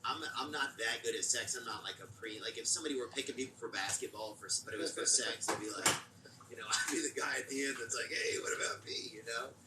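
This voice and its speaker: A man in his forties in a candid, self-deprecating chat who rambles and lets his sentences trail off quietly. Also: mid-range voice, quick pace. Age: 40s. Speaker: podcast guest. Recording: echoey distant audio with noise.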